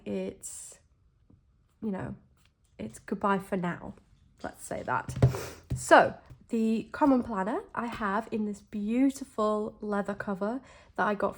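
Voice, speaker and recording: A young woman with a croaky, slightly rough voice after a bout of flu talks to the camera from her desk. She is chatty and enthusiastic, with plenty of ums and restarts. Her pitch sits in an ordinary middle range. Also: slow. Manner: enthusiastic, chatty, many fillers. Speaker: planner vlogger. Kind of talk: casual chat while setting up a planner.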